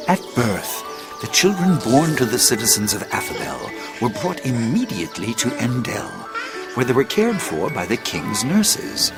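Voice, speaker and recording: An actor playing a wise old sage and former schoolmaster, narrating an audio drama in a stately, deliberate, theatrical way over music. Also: male voice, mid-range voice, very animated, lightly acted. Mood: storytelling. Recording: loud music bed under the voice.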